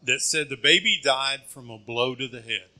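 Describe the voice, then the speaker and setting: Bright-voiced man preaching in short phrases. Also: mid-range voice. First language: English. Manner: short phrases paused for interpreter. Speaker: guest preacher. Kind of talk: sermon testimony with interpreter.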